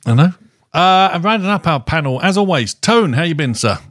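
A man's voice: a little high, expressive, a little fast, fairly bright tone, no room sound, studio quality. A British man chatting casually as a podcast host, with a wry, self-deprecating manner. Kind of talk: casual chat.

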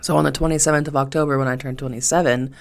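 A woman's voice: very deep voice, steady tone, very fast, creaky. A woman in her twenties chatting casually on a solo podcast, rambling and swearing freely with emphatic repetition. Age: 20s. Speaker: podcast host.

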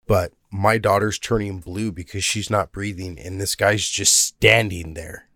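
A man with a deep, low voice chats casually about family life. He talks bluntly, swears freely and laughs often. The sound is dry and close to the mic. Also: fairly even intonation, unhurried, bright, forceful tone, no echo. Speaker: father, co-host. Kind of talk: casual chat.

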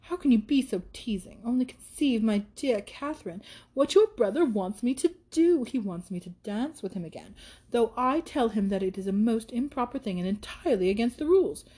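A woman's voice: a little high, expressive, fairly quick, mellow, husky, poor audio. A woman voicing a gushing, flighty young woman in a period storybook, coquettish, exclamatory and affected as she scolds. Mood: indignant, dramatic.

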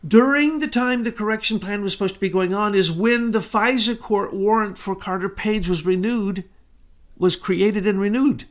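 A middle-aged man co-hosting a political commentary talk, talking fast and insistently. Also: high voice. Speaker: co-host.

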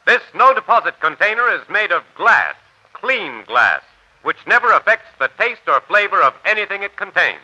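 A man's voice: high voice, very animated, moderate pace, pushed and bright, poor audio. A man delivering an emphatic radio commercial in 1940s announcer style.

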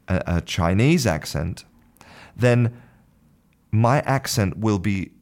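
A man with a low voice gives an explanatory video lesson on English. He speaks slowly and deliberately, often restarting phrases as he thinks. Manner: measured, repeats words while searching for them. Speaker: English pronunciation teacher.

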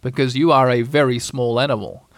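A man voicing a kindly, slow-witted toy bear who thinks aloud in a bumbling, earnest way for a storybook reading. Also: fairly high pitch, lively intonation, dry recording. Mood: musing.

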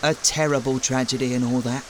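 An actress playing a brusque, dismissive, irritable family member in an audio comedy drama, with a blunt way of talking. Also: female voice, very deep voice, steady tone, fairly quick, slight background noise.

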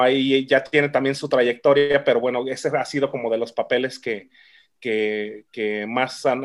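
A Mexican man, a film director, chatting casually in an interview, with many fillers and restarts. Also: medium pitch.

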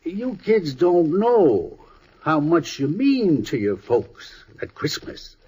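A husky, folksy old storekeeper. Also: male voice, on the high side, wildly swooping pitch, mellow, lo-fi sound, exaggerated voice. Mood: wistful. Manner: folksy, halting, heartfelt. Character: kindly, wistful old country storekeeper, married, folksy. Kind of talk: 1950s radio drama acting. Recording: old radio broadcast recording.

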